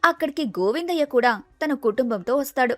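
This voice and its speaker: A woman's voice: high voice, lively intonation, brisk, a bit bright, dry recording, faint music behind. A woman storyteller giving scripted narration of a tale.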